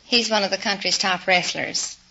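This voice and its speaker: A woman's voice: medium pitch, steady tone, normal speed, very noisy. A woman from Texas giving short conversational answers as a contestant on a radio quiz. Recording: dull 1950s radio broadcast.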